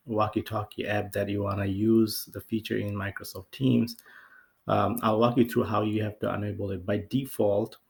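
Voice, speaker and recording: A man with a fairly low, noticeably creaky voice talks through an on-screen software tutorial. His delivery is unscripted and hesitant, circling back over phrases as he explains. The intonation stays quite flat throughout. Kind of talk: casual screen-share walkthrough, unscripted. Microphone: off-camera voice-over for screen recording.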